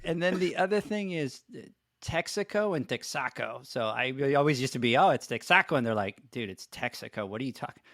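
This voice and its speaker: A middle-aged man from Puerto Rico with a Puerto Rican Spanish accent, a native Spanish speaker, hosting a podcast and telling animated, self-mocking stories in casual banter. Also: mid-range voice, brisk, few pauses, no room sound, clean studio sound. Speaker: podcast host.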